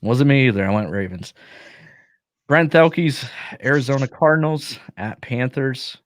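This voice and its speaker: A man's voice: mid-range voice, unhurried, dry recording, clean studio sound. A man hosting a casual sports podcast, giving opinionated, joking football picks while losing his voice. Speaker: podcast host.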